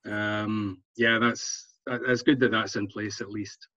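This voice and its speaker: Man, even monotone, hesitant informal explaining, dry muffled video call. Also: lowish voice, takes it slowly, no echo. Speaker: club representative.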